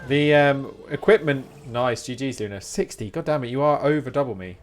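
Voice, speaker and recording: A man's voice: mid-range voice, fairly quick. A man streaming a game, chatting informally with rambling explanations. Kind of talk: casual live-stream chat while gaming. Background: game music.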